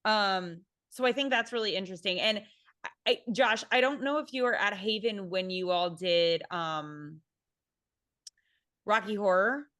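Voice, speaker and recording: A woman's voice: mid-range voice, a little slow, a bit bright, dry recording. Reflective woman in dry, casual discussion. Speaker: theatre panelist.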